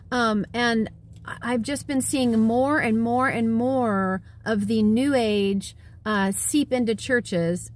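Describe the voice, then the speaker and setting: Woman in her 40s, phone vlog from her car, tired, earnest, rambling, slightly high voice. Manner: rambling, heartfelt, many restarts and fillers. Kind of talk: casual talk to camera. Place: parked car interior. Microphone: phone at arm's length.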